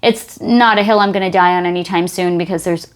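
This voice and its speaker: A woman comedian from the United States talks casually on a podcast. She keeps it animated and acts out the back-and-forth of conversations she recounts. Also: lowish voice, a little fast, clean tone. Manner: animated, acts out quoted exchanges. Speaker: comedian guest.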